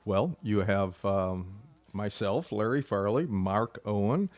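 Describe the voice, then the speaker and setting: Low-voiced man, halting interviewee. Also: a little mellow, no echo. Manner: halting, measured explanations. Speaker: stage actor being interviewed. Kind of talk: casual interview answers.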